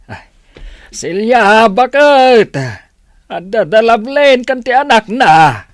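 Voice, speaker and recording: A man's voice: extremely high pitch, animated, slow pace, no room sound, clean studio sound, exaggerated voice. A man playing an affectionate elderly grandfather in a radio drama. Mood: delighted. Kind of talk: Philippine radio drama acting.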